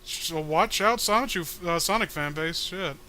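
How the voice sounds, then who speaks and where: Bright-voiced man giving sarcastic commentary. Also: a little high, measured pace, a little hiss or hum. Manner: sarcastic, rambling, foul-mouthed. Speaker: co-host reading a webcomic aloud with commentary. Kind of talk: casual mocking commentary.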